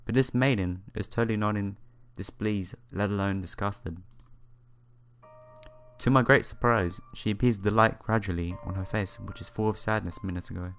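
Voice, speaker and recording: A man with a fairly low voice reads a visual novel aloud as a let's player. His phrasing is halting as he narrates and drops in his own comments. Game music plays behind him. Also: steady tone, fairly bright tone, clear, resonant tone. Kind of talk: reading visual novel text aloud with asides.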